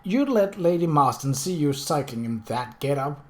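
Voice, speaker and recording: A man voicing a composed, gently teasing gentleman investigator in an audiobook, measured and matter-of-fact. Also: a little high, expressive, natural voice.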